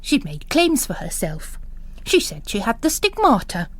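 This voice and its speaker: An older mother superior voiced by a woman narrator in a storybook reading, bossy and full of disapproval. She is brisk, gossipy and emphatic, with a very lively pitch in a close, dry recording. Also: breathy edge, no echo, exaggerated voice. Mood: indignant, scornful.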